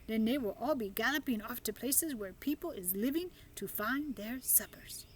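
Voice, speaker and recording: A woman's voice: on the high side, animated, moderate pace, a little hiss or hum, natural voice. Woman putting on a homely, word-mangling friendly giant. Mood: matter-of-fact. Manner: garbled folksy grammar with made-up words. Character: big friendly giant, male, homely, mangling his words.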